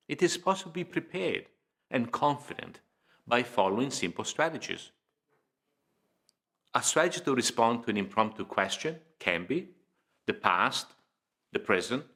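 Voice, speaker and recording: Bright-voiced man giving a measured lecture. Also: mid-range voice. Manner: measured, deliberate, emphatic phrasing with pauses. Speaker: presenter of public speaking training video. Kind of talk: informative instructional lecture, scripted delivery.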